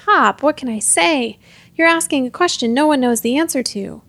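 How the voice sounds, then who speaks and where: A woman's voice: high-pitched, animated, normal speed, vocal fry, a bit bright, no room sound, exaggerated voice. A woman voicing a shifty, half-hearted male ghost, a decayed uncle in a storybook. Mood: sheepish.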